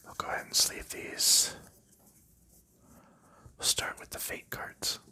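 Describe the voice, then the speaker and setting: Very bright whispering man. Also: takes it slowly. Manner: soft rambling whisper with light laughs and fillers. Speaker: ASMR channel host. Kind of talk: ASMR whispered chat while sleeving cards.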